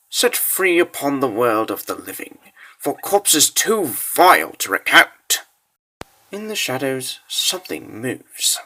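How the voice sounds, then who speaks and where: A man narrating a dark fantasy story in a theatrical, ominous style with deliberate phrasing. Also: mid-range voice, rough recording. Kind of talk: dramatic scripted fantasy narration.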